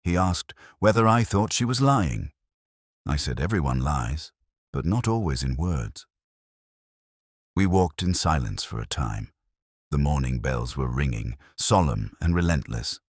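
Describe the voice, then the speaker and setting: A man playing a weary, erudite police inspector, narrating his case in a measured, melancholy, sardonic way as a literary audio drama. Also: rumbling low, sing-song, no echo, studio quality, exaggerated voice. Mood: wry, weary.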